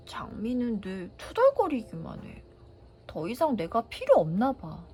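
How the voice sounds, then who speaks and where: A woman voicing a gentle, lonely young boy prince in a children's storybook reading, with a soft, wistful way of talking. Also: fairly high pitch, huge pitch swings, unhurried. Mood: sulky.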